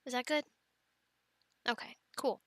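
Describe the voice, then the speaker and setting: A woman playing an affectionate, playful young mother in an ASMR family roleplay, talking gently and haltingly to her children. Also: dry recording, studio quality, natural voice. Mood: playful, bemused. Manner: gentle, halting, soft-spoken with playful bursts.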